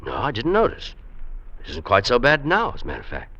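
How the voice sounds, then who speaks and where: An actor playing an earnest, ailing mountaineer in old radio drama style, halting and hesitant, reassuring yet unsure of himself. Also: male voice, mid-range voice, huge pitch swings, sharp tone, highs cut off, full character voice. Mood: subdued, confiding. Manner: halting, breathy exertion and hesitant stammers. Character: earnest ailing male mountaineer, reassuring but self-doubting. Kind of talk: 1940s-50s radio drama acting. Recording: noisy vintage radio recording.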